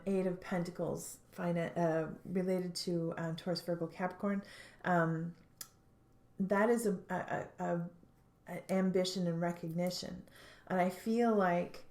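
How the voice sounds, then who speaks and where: A woman giving a tarot reading, sounding stuffed up, speaking thoughtfully with frequent pauses and restarts. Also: lowish voice, darkish tone, reverberant. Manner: thoughtful, hesitant, many fillers and restarts. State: stuffed up, congested. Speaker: tarot reader.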